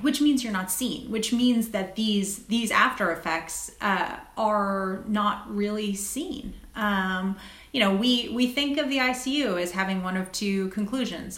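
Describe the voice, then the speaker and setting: A woman speaking as a guest doctor and writer, articulate and measured, in an interview and reading from her own essay. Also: mid-range voice, fairly bright tone. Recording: roomy, echoing sound.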